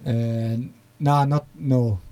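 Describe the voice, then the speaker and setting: A man's voice: medium pitch, steady tone, dark tone, dull audio. A man from the east of the Netherlands with a Dutch accent, talking hesitantly as a guest in casual conversation. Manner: hesitant, halting with many fillers.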